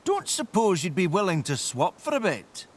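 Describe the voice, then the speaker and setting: A man's voice: high-pitched, huge pitch swings, normal speed, a little hiss or hum, pitched above the performer's own voice. A man voicing a wry, grumbling helper character in a fantasy audio drama, with a dry, complaining delivery.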